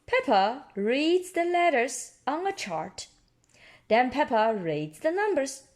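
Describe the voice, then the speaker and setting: Female children's storyteller, higher-pitched, hugely expressive, unhurried read-aloud for little ones. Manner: expressive, deliberate delivery for toddlers. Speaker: storyteller reading picture books aloud. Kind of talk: children's read-aloud narration with ad-libbed asides.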